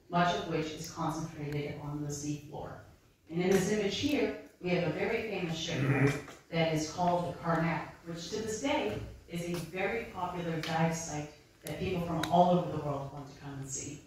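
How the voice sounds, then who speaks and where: A woman's voice: lowish voice, a little mellow, a little hiss or hum. Chatty woman lecturing in an echoey library. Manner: friendly, chatty presenter addressing the audience directly. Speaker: maritime archaeologist giving a public talk. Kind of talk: public lecture with slides. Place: library room with bookshelves, indoors. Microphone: handheld microphone. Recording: very echoey room, voice from a distance.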